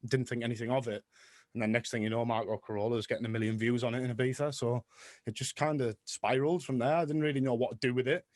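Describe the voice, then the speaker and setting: A young man from Middlesbrough with a Teesside accent, a music producer chatting as a guest in an interview, rapid, self-mocking and quick to laugh. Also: mid-range voice, fairly even intonation, a little mellow, dry recording, studio quality. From Middlesbrough, England. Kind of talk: casual interview chat.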